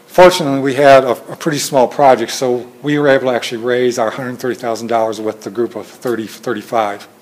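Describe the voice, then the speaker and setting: A soft-spoken man giving an informal talk with slides to a training group, hesitant with many fillers and restarts, recorded on a phone in a meeting room. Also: mid-range voice, fairly even intonation, fast talker, hardly any pauses, poor audio. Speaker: presenter at a training session. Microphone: phone camera in the room.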